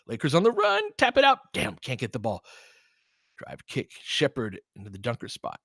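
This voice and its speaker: Bright-voiced man calling basketball like a fan. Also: mid-range voice, huge pitch swings, moderate pace, gravelly, dry recording, studio quality. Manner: partisan fan calling plays in short clipped phrases, explaining conversationally. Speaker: host and play-by-play commentator. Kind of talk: live audio basketball commentary with casual tactical analysis.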